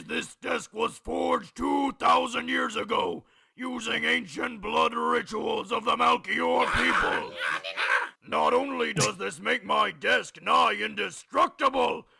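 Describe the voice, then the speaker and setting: This is a grandiose bureaucrat in an anime parody dub. He declaims in a booming, very forceful voice with a rough edge. Every phrase is pompous and drawn up to sound important. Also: heavily acted.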